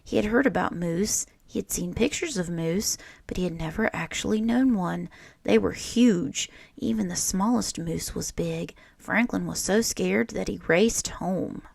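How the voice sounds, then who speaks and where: A woman reading a children's picture book aloud in an expressive, deliberate storytelling manner. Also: lowish voice, creaky, breathy edge, no echo. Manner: animated, deliberate storytelling with acted character lines.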